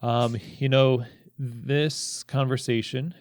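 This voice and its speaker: A man speaking as a podcast host in a conversational interview, with a measured, halting, thoughtful delivery. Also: mid-range voice, steady tone, dry recording.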